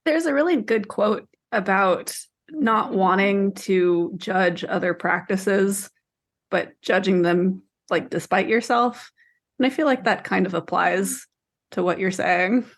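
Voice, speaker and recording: Measured woman chatting as co-host. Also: medium pitch. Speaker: podcast co-host. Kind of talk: casual book discussion.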